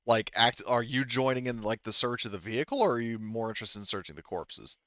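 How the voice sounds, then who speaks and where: A man narrating a tabletop roleplaying game as game master, in a casual, halting, informal way. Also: mid-range voice, expressive, fairly bright tone, dry recording, highs cut off.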